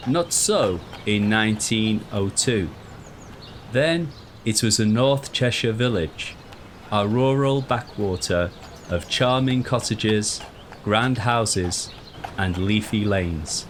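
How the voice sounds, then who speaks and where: A man reading a scripted ghost story in a measured, deliberate, formal storytelling manner. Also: lowish voice, slight background noise. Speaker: narrator. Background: music.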